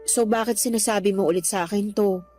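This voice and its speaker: A woman's voice: mid-range voice, steady tone, fairly quick, warm tone, soft background music, lightly acted. A woman acting in a Filipino radio drama as a sick woman who jokes wryly with her best friend, with a Filipino accent. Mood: teasing, bittersweet. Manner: teasing, wry.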